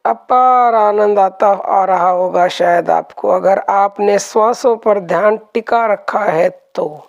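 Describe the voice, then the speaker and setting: Slow-paced woman guiding meditation. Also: mid-range voice, gravelly. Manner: calm, instructive, repeats phrases gently. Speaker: meditation guide. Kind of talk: guided meditation instruction.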